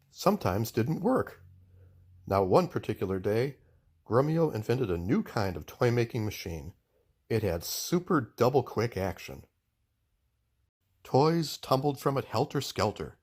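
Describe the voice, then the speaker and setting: A man narrating a children's book aloud in an expressive storytelling style. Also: on the low side, husky.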